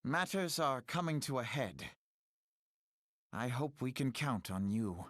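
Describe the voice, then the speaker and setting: A man's voice: mid-range voice, very animated, unhurried, a bit bright, no echo, clean studio sound. A man playing an earnest, resolute young scholar leader in a video game, with formal, theatrical diction. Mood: rousing determination. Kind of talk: dramatic video game cutscene acting.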